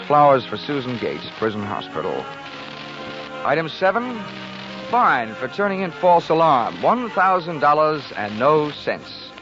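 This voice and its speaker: An American actor playing a wisecracking, sardonic, tough-talking private investigator in a 1940s radio drama. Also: male voice, medium pitch, lightly acted. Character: brash wisecracking hard-boiled male private investigator. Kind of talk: 1940s radio acting, first-person detective narration. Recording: dull lo-fi 1940s radio recording, music.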